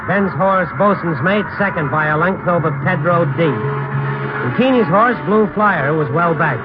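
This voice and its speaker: An American actor playing a calm, wry man who narrates and consoles friends in a measured, noncommittal way, in old-time radio drama style. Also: high-pitched, noisy background, natural voice. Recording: muffled old radio recording, music behind.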